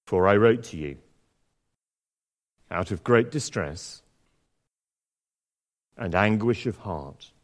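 A man with a slightly croaky voice delivers a sermon, moving from easy, self-deprecating chat to a slow scripture reading. His pitch swings widely as he talks. A long reverb from the church hangs behind him. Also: expressive. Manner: conversational, self-deprecating, with fillers and restarts. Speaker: preacher.

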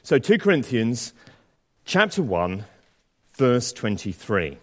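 A man preaching a sermon and reading scripture aloud, with a slightly croaky voice and a conversational, self-deprecating manner full of fillers and restarts. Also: medium pitch, sing-song, slow pace. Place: church. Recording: long church reverb.

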